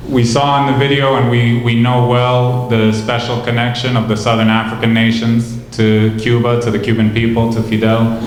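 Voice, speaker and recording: Even-pitched man announcing in an echoey hall. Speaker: event MC. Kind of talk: live event announcements. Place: large indoor hall, tribute event. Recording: very echoey hall, faint noise.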